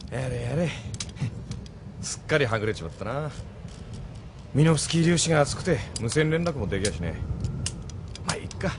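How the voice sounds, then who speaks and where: An actor playing a cocky, hot-tempered young pilot thug with coarse, slangy speech in an audio drama. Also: male voice, mid-range voice, expressive, moderate pace, long pauses, a little hiss or hum, lightly acted. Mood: offhand, cocky. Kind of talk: Japanese audio drama acting. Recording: music behind the voice.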